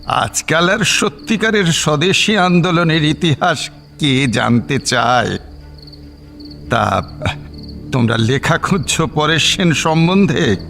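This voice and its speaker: A man playing a thoughtful old villager in an audio drama, with a creaky, aged voice and deliberate delivery over music. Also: a little high, expressive, fairly bright tone, heavily acted. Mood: musing. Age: older. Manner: slow, deliberate. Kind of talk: Bengali audio drama acting. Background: music bed with cricket sounds.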